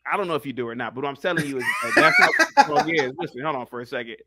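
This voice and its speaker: A man's voice: medium pitch, huge pitch swings, rapid-fire, heavy background noise. A man from Ohio hosting a casual debate stream, reading articles aloud and teasing his guest in a mocking tone. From Ohio, United States. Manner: mocking, teasing, reads text aloud and comments. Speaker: stream host.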